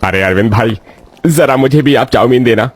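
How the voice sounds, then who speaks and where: A man's voice: mid-range voice, moderate pace, close to an everyday voice. A man voicing a genial middle-aged customer and father in a story, friendly and chatty.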